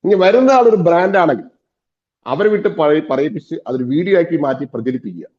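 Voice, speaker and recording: A man's voice: on the high side, expressive, fast talker, highs cut off. A man giving an instructional business talk as a coach, emphatic and fond of repeating key phrases.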